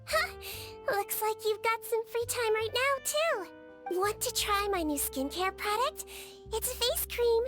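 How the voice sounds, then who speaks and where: A woman voicing a small, sweet young female melusine nurse in a video game, with a childlike voice and a gentle, earnest, reassuring manner. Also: very high voice, expressive, measured pace. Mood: bright, eager. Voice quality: childlike, girlish. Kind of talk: video game character voice lines. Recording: studio voice over game music.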